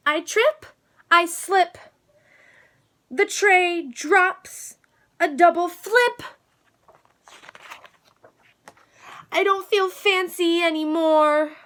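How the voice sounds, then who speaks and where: A woman reading a children's storybook as an excitable, precocious little girl who adores being fancy, with a dramatic manner. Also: very high voice, drawn-out words, long pauses, voice pushed up, heavily acted. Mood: dismayed. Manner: dramatic, precocious, proudly explaining fancy words. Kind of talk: children's storybook read-aloud.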